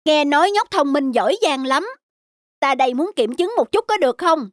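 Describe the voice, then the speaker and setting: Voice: sits high, expressive, moderate pace, no room sound, clean studio sound. An actor voicing a smug, scheming man who taunts children in a mocking drawl in a cartoon folk tale. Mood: smug, taunting. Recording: music under the dialogue.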